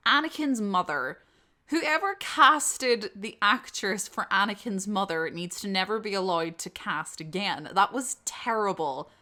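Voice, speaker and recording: A woman's voice: medium pitch, lively intonation, measured pace, sharp tone. A young woman with a Northern Irish accent chatting casually and unscripted as she answers questions, candid and blunt in an informal way. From Northern Ireland. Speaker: audio roleplay creator answering subscriber questions.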